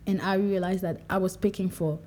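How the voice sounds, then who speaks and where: A young woman from Cameroon speaking in a relaxed, reflective monologue, livening up when she quotes herself. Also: mid-range voice, fairly quick, a little hiss or hum. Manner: conversational, animated when quoting herself. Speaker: podcast speaker.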